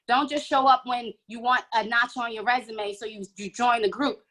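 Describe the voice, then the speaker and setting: Emphatic bright woman, punchy sentences. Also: fairly high pitch, fairly even intonation, moderate pace. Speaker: school board candidate. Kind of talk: forum answer. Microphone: video call.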